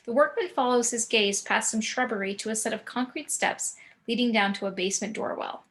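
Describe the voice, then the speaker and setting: A woman reading screenplay action and scene descriptions in a measured, descriptive manner. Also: on the high side, a bit bright. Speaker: narrator.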